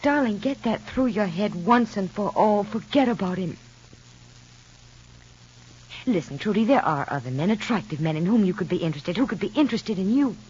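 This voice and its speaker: Exasperated, husky American mother, middle-aged, 1950s radio serial, muffled archive sound. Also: mid-range voice, animated, quick pace, hardly any pauses, a little mellow. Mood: urgent, exasperated. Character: insistent, exasperated mother figure lecturing a younger woman. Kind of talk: 1950s radio soap acting. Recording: dull vintage radio recording.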